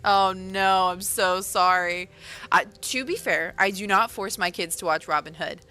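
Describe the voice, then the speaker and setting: A woman's voice: medium pitch, unhurried, sharp tone. A woman chatting casually on a livestream, rambling along with lots of fillers and correcting herself as she goes. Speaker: author and livestreamer.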